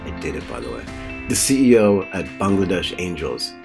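A middle-aged man hosting a podcast interview, chatty and quick with follow-up questions. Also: sits low, big pitch swings, gravelly, music in the background. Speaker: podcast host. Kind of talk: conversational interview.

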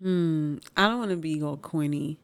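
A woman's voice: low voice, moderate pace. A woman co-hosting a casual chat about viewer questions, playful and teasing, laughing often. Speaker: co-host.

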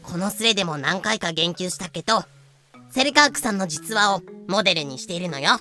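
Casting: prim little lecturer voiced by a woman, bright crisp-edged tone, didactic, quirky phrasing, music underneath. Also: lowish voice, expressive, brisk. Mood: explanatory. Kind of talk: fan-made Japanese voice drama acting.

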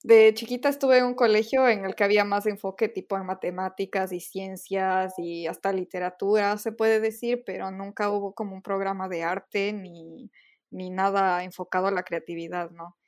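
Young woman, podcast co-host, chatty and giggly, relaxed talk with fillers. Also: mid-range voice, normal speed. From Ecuador. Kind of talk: casual chat.

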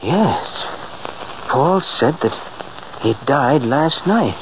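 A man's voice: a little high, animated, very slow. An actor playing an agitated, skeptical middle-aged father in a 1940s radio drama, incredulous and emphatic, prone to stammer when rattled. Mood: shocked. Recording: muffled, hissy old radio recording.

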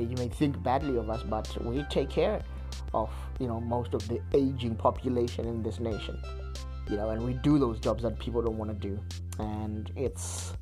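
A man's voice: medium pitch, darkish tone. A man from Zimbabwe hosting a solo podcast, talking earnestly with many restarts and repeated words. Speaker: podcast host. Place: United Kingdom.

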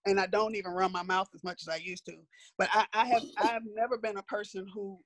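Raspy-voiced middle-aged woman with sore throat. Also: medium pitch, fairly even intonation, fast, no echo, low-quality recording. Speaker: mother being interviewed by her daughter. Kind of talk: casual family conversation.

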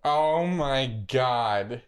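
A man's voice: mid-range voice, expressive, extremely slow, clear, resonant tone. A man with an American accent co-hosting a podcast in casual, playful banter, teasing and exclaiming as he talks. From United States. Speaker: podcast co-host.

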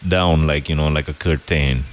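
A man's voice: a bass voice, steady tone, moderate pace. A man co-hosting a breakfast radio show, reading listener messages and agreeing along in casual banter.

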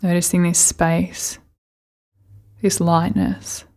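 A woman leading a guided meditation, giving gentle, soothing instructions with unhurried pauses. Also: on the low side, flat delivery.

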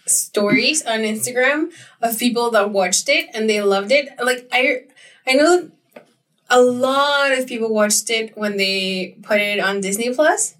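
A young woman co-hosting a podcast with a slightly raspy voice, chatting in an easygoing, rambling way. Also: on the high side, a little slow, a bit bright, distant mic. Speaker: podcast co-host. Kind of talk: casual chat.

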